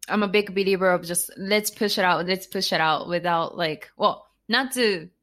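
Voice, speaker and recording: A woman with a Japanese accent speaks in a casual interview, her voice sitting slightly low. She rambles a little, full of fillers and quick restarts, as if on a video call. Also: fairly bright tone. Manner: chatty, with many fillers, restarts and laughs. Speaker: guest author and entrepreneur.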